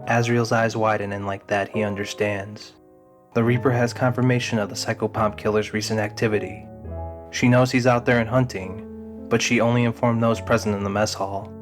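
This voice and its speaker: A man's voice: lowish voice, little pitch movement, normal speed. A man reading scripted dramatic narration as the narrator of an audio drama. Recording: music behind the voice.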